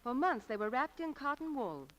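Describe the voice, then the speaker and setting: A woman in a scripted 1940s radio commercial. Her voice is high and swings expressively up and down. The sound is an old broadcast recording. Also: very animated, moderate pace. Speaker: radio commercial announcer's partner.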